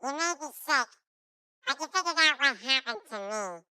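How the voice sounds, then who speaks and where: Give me a squeaky, sharp-edged cartoon ant who talks like a slangy young rebel. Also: male voice, normal speed, no room sound, clean studio sound, full character voice. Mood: sheepish. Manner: casual, slangy. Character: young male ant, defiant troublemaker.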